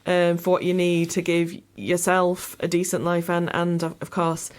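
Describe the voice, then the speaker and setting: A woman's voice: on the low side, completely flat delivery, normal speed, smooth. A woman interviewing conversationally on the radio, reflective as she feels her way into questions.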